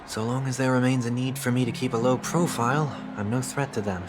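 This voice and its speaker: An actor playing a calm, solemn fallen leader in a video game, speaking in a formal, measured, reflective way. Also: male voice, medium pitch, background noise, natural voice. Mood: composed. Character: calm, solemn fallen leader man with ear feathers and halo. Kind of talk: English video game voice acting. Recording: loud background music. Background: game music.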